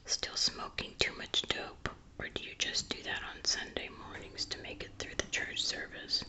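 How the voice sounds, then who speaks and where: A woman's voice: normal speed, sharp tone. A woman reading a storybook in a whisper, voicing a folksy, teasing, matronly small-town postmaster.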